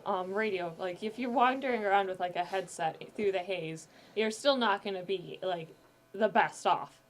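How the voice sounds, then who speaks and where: A woman's voice: mid-range voice, moderate pace. Woman guest explaining her drama. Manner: explains setting with fillers like "um" and "like". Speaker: guest, audio drama creator. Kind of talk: casual chat.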